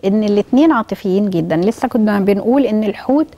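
A middle-aged woman with an Egyptian accent, giving astrology advice in a talk-show studio, speaking slowly with pauses. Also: mid-range voice, warm tone. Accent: Egyptian Arabic. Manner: measured, explains slowly with pauses and fillers. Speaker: astrologer guest. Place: bright television studio.

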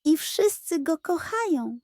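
A woman's voice: very high voice, sing-song, slow pace, darkish tone, no room sound, studio quality, pitched above the performer's own voice, full character voice. A woman voicing a boastful, exclamatory little male puppy in a children's story. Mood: proud. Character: boastful little male puppy, vain but easily rattled.

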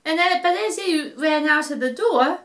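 Children's storyteller: middle-aged New woman, high bright voice, animated, unhurried. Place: living room, seated in an armchair.

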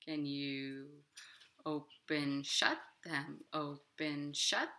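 Lady doing storytime for little kids, bright voice, takes her time, simple phrases. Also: deep voice, extremely slow. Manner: clear, simple phrasing addressed to small children. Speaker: children's library storytime host. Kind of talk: storytime for young children, talking and reading aloud. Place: living room at home, indoors.

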